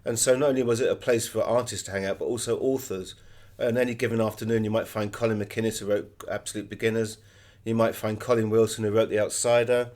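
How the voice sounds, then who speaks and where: A man's voice: fairly low pitch, a little mellow. A middle-aged British man being interviewed as an author, telling anecdotes in a conversational way.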